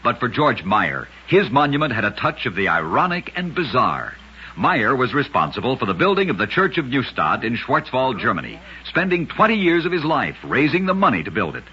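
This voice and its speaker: Male radio narrator, very husky, lively pitch, dramatic storyteller on a lo-fi vintage recording. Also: a little fast. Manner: dramatic, emphatic storytelling delivery. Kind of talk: scripted narration of short oddity stories for radio.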